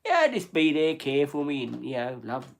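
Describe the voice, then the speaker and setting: A man's voice: fairly high pitch, sing-song, normal speed, vocal fry, slight background noise. An Australian man hosting a livestream, chatting casually and bluntly with plenty of swearing. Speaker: livestream host. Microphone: phone or camera on a livestream.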